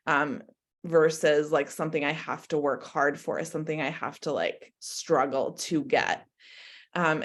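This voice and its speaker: A woman from Croatia and America, speaking as an interview guest in an introspective, conversational way peppered with "like". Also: low voice. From born in Croatia, lived mostly in America. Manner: introspective, hedging with frequent "like". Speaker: interview guest, business coach.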